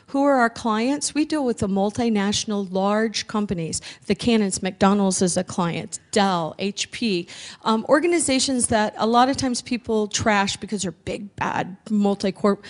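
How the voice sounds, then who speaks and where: A woman founder speaking earnestly and conversationally at a conference, with frequent pauses and restarts. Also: medium pitch. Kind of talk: personal conference talk.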